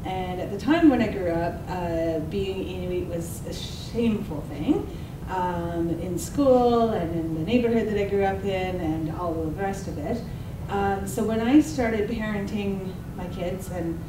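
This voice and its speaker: A woman's voice: medium pitch, unhurried. A woman in her forties from Ottawa, introducing herself on a panel in an earnest way. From Ottawa, Canada. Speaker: symposium presenter. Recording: echoey hall, distant mic, noisy.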